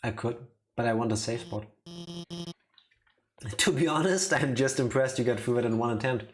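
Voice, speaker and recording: A man's voice: mid-range voice, moderate pace. A man giving casual commentary as he streams a video game. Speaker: let's play streamer.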